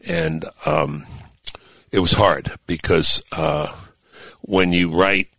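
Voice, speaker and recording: An older man with a very deep voice talks on a radio show. He hesitates and restarts, then lands on emphatic points. The studio sound is dry and close. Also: fairly even intonation, creaky, fairly bright tone, no echo. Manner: thoughtful, halting restarts, builds to emphatic points. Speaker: host and author. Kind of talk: casual radio talk show conversation.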